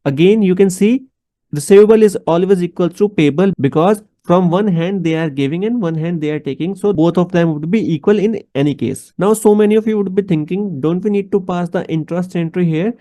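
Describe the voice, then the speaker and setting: Male instructor, Indian accent, fairly high mellow voice, methodical explainer who poses questions to the learner. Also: brisk. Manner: didactic, step-by-step, addressing the listener directly. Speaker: accounting instructor. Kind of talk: explanatory tutorial with rhetorical questions.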